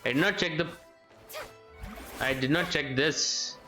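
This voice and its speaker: A man's voice: fairly high pitch, unhurried, bright, forceful tone. A man giving casual live game commentary, thinking aloud and often repeating his phrases. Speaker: game streamer. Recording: game music behind the voice. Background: video game music.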